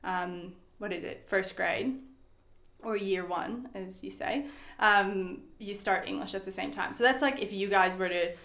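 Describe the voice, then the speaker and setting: A chatty young woman, Swedish by birth, talks in an American accent full of "like" and "um". Her voice sits at a middle pitch and rings in an echoey room. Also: measured pace, fairly bright tone. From Sweden. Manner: chatty, lots of fillers and likes. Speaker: guest. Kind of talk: casual interview chat.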